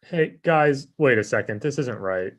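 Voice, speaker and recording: A man's voice: medium pitch, expressive, a little slow, lightly acted. An actor playing a laid-back, privileged young man who talks casually and self-absorbedly in a comedy table read. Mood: casual, oblivious.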